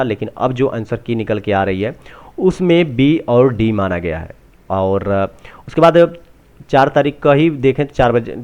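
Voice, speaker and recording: A man talks through exam updates unscripted, halting and often restating what he has just said. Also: medium pitch, darkish tone. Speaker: presenter of exam answer-key updates. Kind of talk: unscripted walkthrough explanation.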